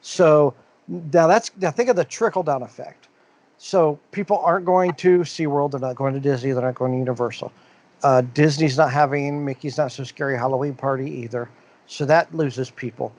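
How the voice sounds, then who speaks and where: A man's voice: on the high side, fairly even intonation, normal speed, a little mellow. A man co-hosting a casual podcast, reading out news items and rambling on with many fillers and restarts. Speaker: podcast co-host.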